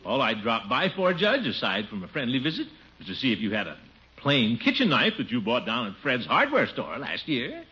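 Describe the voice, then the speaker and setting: An actor playing a pompous, easily flustered middle-aged uncle in a 1950s radio comedy, blustering and muttering asides. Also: male voice, medium pitch, huge pitch swings, moderate pace, sharp tone. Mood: falsely casual. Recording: muffled, noisy old radio recording.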